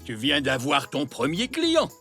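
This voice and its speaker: A man's voice: a little high, a little slow, soft background music, heavily acted. An actor playing a cheerful, patient, encouraging grandfather zebra in a French children's cartoon. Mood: kindly, jolly. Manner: encouraging, chuckling, expressive.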